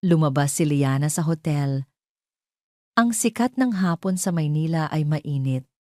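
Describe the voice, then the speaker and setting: A woman's voice: deep voice, lively intonation, a little slow, darkish tone, no room sound, clean studio sound. A woman narrating a scripted dramatic story as a storyteller.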